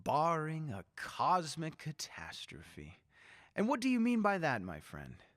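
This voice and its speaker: A man playing a murderous, mockingly courteous dictator in a radio drama, theatrical and taunting with drawn-out emphasis. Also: fairly high pitch, huge pitch swings, a bit bright, exaggerated voice.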